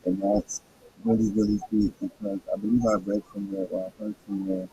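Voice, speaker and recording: Male reader-host, hoarse and raspy, halting delivery, gravelly fry, lively pitch. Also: lo-fi sound, a little hiss or hum. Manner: halting, stumbles over words, frequent restarts. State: hoarse, throat hurting. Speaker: host reading a book aloud. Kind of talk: casual reading with commentary.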